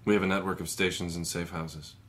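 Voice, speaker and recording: An actor playing a practical married man who runs a safe house, speaking firmly and briskly in an audio drama. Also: deep voice, flat delivery, a bit bright.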